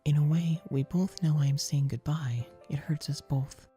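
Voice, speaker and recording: Guy doing a petite timid girl, soft n breathy, wistful, way up high, audiobook drama over music. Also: fairly even intonation, normal speed, lightly acted. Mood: tender, bittersweet farewell. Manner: soft, breathy, whispered delivery.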